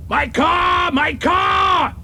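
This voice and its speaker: Blustery, high-pitched British general. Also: male voice, drawn-out words, gravelly, pushed and bright, shouting, a little hiss or hum, full character voice. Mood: urgent, furious. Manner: clipped, authoritative, exclamatory. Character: gruff, commanding British army general, excitable and blustery. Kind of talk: audio drama acting.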